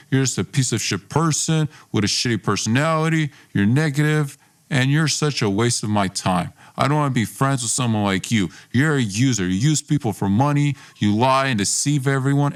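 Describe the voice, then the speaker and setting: A man's voice: mid-range voice, fairly quick, natural voice. A man playing a hostile, bitter young best friend in dramatized dialogue, accusatory and confrontational. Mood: contemptuous.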